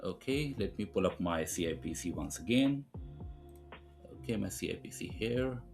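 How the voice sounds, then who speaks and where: A man presenting a screen-recorded software tutorial, explaining things informally step by step. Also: low voice, animated, normal speed, vocal fry, faint music behind.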